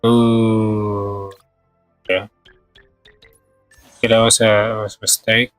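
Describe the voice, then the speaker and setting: A man's voice: fairly low pitch, little pitch movement, takes it slowly, a bit bright, no echo, faint music behind. A man chatting casually on a game livestream, thinking aloud in short, hesitant fragments. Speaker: game streamer. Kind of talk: casual livestream gameplay chat.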